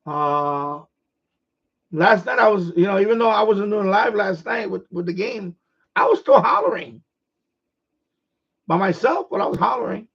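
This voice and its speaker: A middle-aged man from New York with a New York accent, hoarse, chatting casually on his livestream and restarting his phrases as an eager sports fan. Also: high-pitched, animated, moderate pace, pauses often, a bit bright. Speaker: livestream host. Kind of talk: casual rambling chat. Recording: dull lo-fi stream audio.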